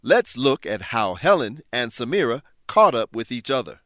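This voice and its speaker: A man's voice: medium pitch, very animated, normal speed, a bit bright, no room sound, studio quality. Man presenting a scripted English lesson. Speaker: host and English teacher.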